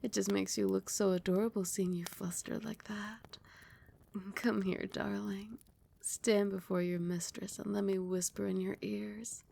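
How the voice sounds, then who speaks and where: A woman voicing a teasing, doting queen who dotes on her servant in a sultry, coaxing audio roleplay, at times dropping to a soft whisper. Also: medium pitch, flat delivery, a little slow, vocal fry. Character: teasing, doting adult queen, dominant mistress.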